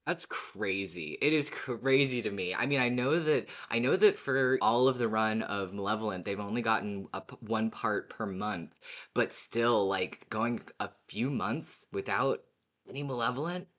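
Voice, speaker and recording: A man's voice: fairly low pitch, normal speed, fairly bright tone. A man co-hosting a fan podcast, talking in an informal, enthusiastic way. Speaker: podcast co-host. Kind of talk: casual fan podcast chat.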